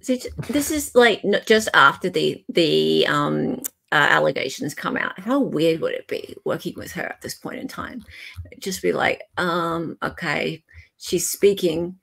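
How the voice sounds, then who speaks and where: A woman's voice: low-pitched, measured pace, creaky, fairly bright tone. A woman hosting a live dramatic reading, chatting casually in a playful, mock-serious way as she sets up each item. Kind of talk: casual banter introducing emails.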